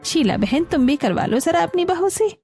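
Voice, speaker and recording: A woman's voice: very high-pitched, lively intonation, moderate pace, darkish tone, soft background music, pitched above the performer's own voice. A woman voicing a demanding, scolding elderly female houseguest in a cartoon story, bossy and full of complaints. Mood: demanding, complaining.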